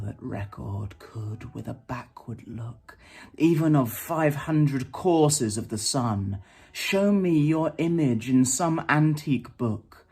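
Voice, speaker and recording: A man giving a dramatic recitation of old sonnets in a measured, theatrical way with deliberate pauses. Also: mid-range voice, darkish tone, husky. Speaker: poetry performer. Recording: music behind the voice. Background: music.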